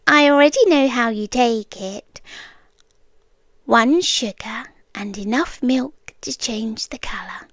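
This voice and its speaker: High, unhurried British woman acting an obsessive stalker girlfriend. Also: a bit bright, no room sound, clean studio sound, heavily acted. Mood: soft, coaxing. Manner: measured, deliberate phrasing, often dropping into whispers. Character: obsessive, eerily calm stalker girlfriend, softly possessive. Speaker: ASMR roleplay performer. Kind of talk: ASMR roleplay, intimate monologue to the listener. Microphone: close dry microphone.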